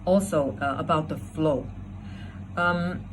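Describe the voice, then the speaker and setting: A woman's voice: deep voice, takes it slowly. A woman speaking as a competition judge, explaining her feedback point by point. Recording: clear background noise.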